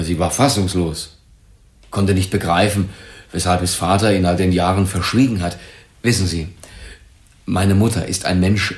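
A man's voice: low voice, echoey room, natural voice. An actor playing a defensive, troubled businessman under questioning in a German crime radio drama, explaining himself earnestly and hesitantly.

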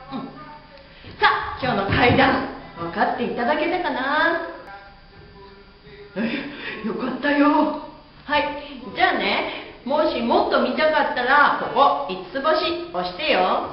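A woman in her thirties presenting a playful lesson to camera with a bubbly, girlish, theatrical delivery, recorded in a bare indoor room on a camera microphone. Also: high voice. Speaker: host and pantomime instructor. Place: bare indoor room with curtained wall and hard floor. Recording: very echoey room, slightly dull audio.

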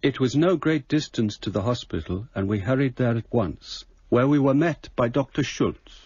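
A man plays a sober English gentleman narrating a radio mystery. His husky, mid-pitched voice is measured and literary, recorded dry. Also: no room sound, dull audio, lightly acted. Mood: sober, reflective. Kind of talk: scripted radio drama narration.

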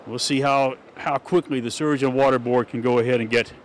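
A man's voice: mid-range voice, fairly even intonation, fairly quick, poor audio. An older man doing a rambling, conversational live news report from a flooded street at night, speaking into a handheld mic. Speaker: field reporter. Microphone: handheld station mic. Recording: faint street noise outdoors.

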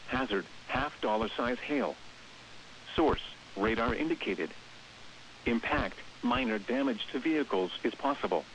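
A synthetic-sounding male announcer reads scripted weather bulletins and warnings in a flat, evenly phrased delivery. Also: medium pitch, measured pace, vocal fry. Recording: hissy, dull radio broadcast with noise. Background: radio hiss.

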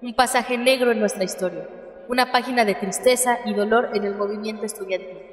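A woman's voice: on the high side, steady tone, a little fast, lightly acted. A woman acting an impassioned activist leader giving a solemn, formal speech in a radio drama, with a Mexican accent. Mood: solemn, indignant. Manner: formal, declamatory. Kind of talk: radio drama political oratory. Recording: echoey hall reverb.

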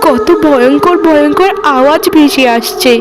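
A woman's voice: sits high, normal speed, over music, close to an everyday voice. A woman voicing an innocent, obliging young girl, the trusting youngest sister in a cartoon fairy tale. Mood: frightened, pleading.

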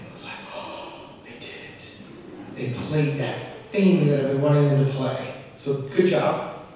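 A young male orchestra teacher giving rambling, self-deprecating remarks on stage, halting with fillers. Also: a little high, darkish tone, a little hiss or hum. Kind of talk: casual remarks to an audience. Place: large school auditorium stage. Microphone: handheld mic, far camera. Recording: echoey hall from far off.

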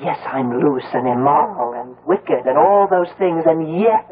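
A woman's voice: deep voice, animated, measured pace, husky, heavily acted. An actress playing an anguished young woman haunted by her mother in a radio drama. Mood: bitter confession. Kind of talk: 1970s radio drama acting. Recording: muffled, tinny old radio broadcast.

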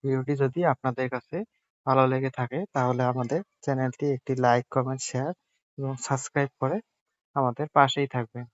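A man's voice: medium pitch, flat delivery, brisk, lo-fi sound. A man narrating a phone app tutorial step by step, in a repetitive, instructional way. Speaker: tech tutorial host.

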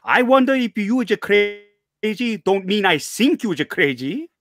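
A gruff, stubborn Korean immigrant shopkeeper father. He talks in blunt, clipped broken English with a Korean accent and swings his pitch widely. The sound is dry, from a headset on a video call. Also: big pitch swings, unhurried, a bit bright, no echo, exaggerated voice. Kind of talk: live table read. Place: home room.

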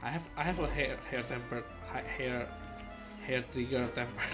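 Streamer role: man, thirties, mid-pitched, loose chatty reactions, repeats himself, faint game music behind. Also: moderate pace. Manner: playful, echoes phrases and repeats words. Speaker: gaming streamer. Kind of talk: casual playthrough commentary, reacting to dialogue. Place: indoor gaming setup. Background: game music and dialogue.

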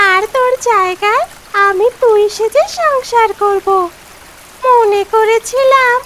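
A woman's voice: very high-pitched, a bit bright, slight background noise. A woman voicing a greedy, spiteful female witch ghost in a cartoon fairy tale.

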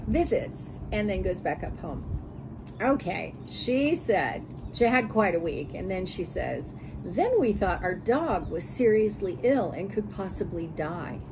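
An American woman in her sixties chatting casually to camera and reading out comments in an expressive, giggly manner. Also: on the high side, background noise. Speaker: sewing vlog host. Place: bright curtained porch or sunroom.

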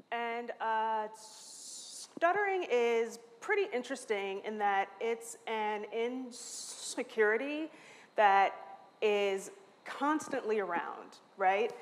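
Slow-spoken woman who stutters, in a hall. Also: mid-range voice, slight background noise. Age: 30s. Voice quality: stutters, with repeated sounds and restarts. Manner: candid and self-mocking. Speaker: conference speaker. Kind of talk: lightning talk. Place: large hall, standing at a lectern on stage. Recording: long reverb from a large hall.

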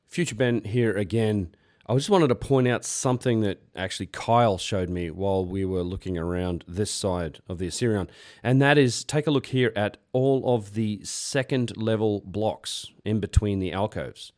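A man's voice: on the low side, moderate pace, few pauses, a little mellow. A man hosting an exploration video, giving casual, informal walkthrough commentary in an unscripted way. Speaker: host of an exploration video, tour leader.

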